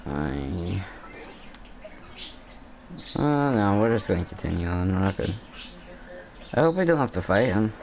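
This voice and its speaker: A man's voice: low voice, very animated, a little slow, vocal fry, pauses often, a little mellow. Male gamer, casual commentary, rambling, thinking aloud, varied intonation, faint background noise.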